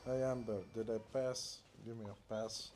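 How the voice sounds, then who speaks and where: A man's voice: on the low side, fairly even intonation, moderate pace, a little mellow, faint music behind. A man giving casual, playful commentary while playing a video game. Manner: joking, playful. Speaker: game streamer.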